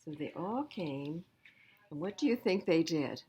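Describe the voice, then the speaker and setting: A woman's voice: low-pitched, animated, a little slow, mellow, a little hiss or hum. A woman reading a picture book aloud at storytime, emphatic and speaking directly to young listeners. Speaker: library storytime reader. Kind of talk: children's read-aloud storytelling with asides.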